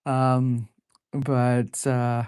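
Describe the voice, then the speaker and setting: A woman in her forties with a low, deep voice, chatting casually while drawing live. She rambles, laughs often, and fills pauses with plenty of ums and uhs. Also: little pitch movement, a little mellow, clean tone, no echo. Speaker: artist drawing live on stream.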